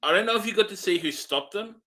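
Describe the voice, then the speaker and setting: A man co-hosting a film review show, speaking casually in a tentative, measured way. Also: high-pitched, bright, forceful tone.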